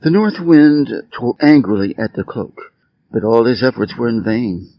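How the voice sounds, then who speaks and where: A man giving a measured, scripted reading of short fables for English learners, enunciating each phrase. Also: mid-range voice, lively intonation, dry recording, muffled recording. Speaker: story reader.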